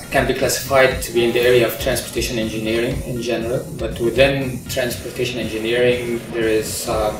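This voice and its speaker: A middle-aged engineering professor from Egypt explains his work, steady and unhurried, often pausing with an um or uh. His voice stays level and flat in pitch. He sits a little back from the mic in a big echoing control center, with music playing beneath. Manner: measured, explanatory, many ums and uhs. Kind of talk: interview about his research. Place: large open-plan control center. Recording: roomy, distant sound with music under it.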